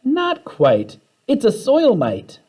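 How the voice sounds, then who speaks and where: A man's voice: very high-pitched, huge pitch swings, takes it slowly, darkish tone, husky, pitched above the performer's own voice, heavily acted. A man voicing an unflappable, upbeat woman schoolteacher in a children's storybook, theatrical and assured. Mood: bright, gleeful. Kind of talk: children's storybook read-aloud.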